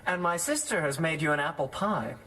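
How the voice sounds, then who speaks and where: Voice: mid-range voice, expressive, brisk, pushed and bright, low-quality recording. A performer playing a polite, earnest schoolboy in an audio drama, deferential and matter-of-fact. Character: polite, earnest schoolboy helping his teacher.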